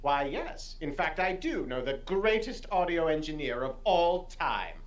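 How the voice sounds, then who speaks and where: Man doing a haughty sinister occult figure, pompous, highly animated, audio comedy. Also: a little slow.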